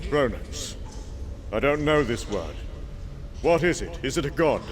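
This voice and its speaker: A man's voice: medium pitch, lively intonation, a little slow, big-room sound, close to an everyday voice. A man voicing a proud, defiant thunder god warrior with bold, commanding, declamatory delivery in a dramatic comic book reading. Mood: earnest, resolute. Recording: clear background ambience noise.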